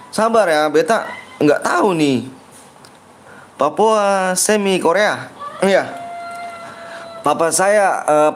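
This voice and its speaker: Chatty young male game streamer, higher voice. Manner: reads viewer comments aloud and banters, pushing likes and subscribes; playful joking persona. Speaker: game livestreamer. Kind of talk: casual live-stream chat.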